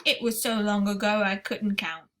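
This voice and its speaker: A woman voicing a huge, kindly old male giant who speaks slowly and loudly with muddled grammar. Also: steady tone, natural voice. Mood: musing. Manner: slow, booming, mangled words.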